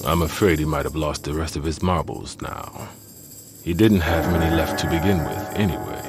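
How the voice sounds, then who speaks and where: A man voicing a laid-back, sardonic runaway inmate with a deadpan manner, in an adventure game. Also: a bass voice, moderate pace. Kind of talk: adventure game character acting. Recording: loud music under the voice.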